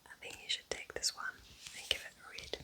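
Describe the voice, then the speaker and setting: Soft whispering woman, ASMR style. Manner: gentle, unhurried, chatty and informal. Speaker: ASMR host recommending books. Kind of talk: ASMR roleplay, intimate whispering.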